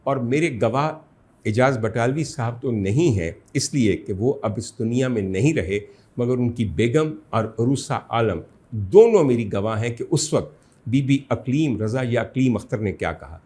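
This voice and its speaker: A man with a Pakistani accent hosting and telling anecdotes in a deliberate, emphatic, theatrical way. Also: medium pitch, expressive, dark tone. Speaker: host and storyteller. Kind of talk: dramatic anecdotal storytelling.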